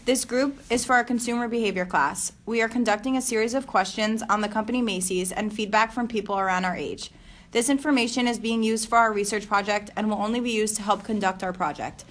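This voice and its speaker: A woman's voice: mid-range voice, steady tone, brisk, fairly bright tone. A young woman in her twenties moderating a student focus group, asking polite questions with hesitant fillers.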